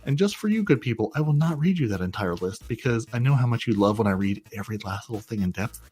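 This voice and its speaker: Podcast co-host, male, mid pitch, casual and rambling with stutters, faint music underneath. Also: expressive, fast talker, a little mellow, husky. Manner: chatty, self-mocking, repeats words. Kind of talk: casual gaming podcast chat.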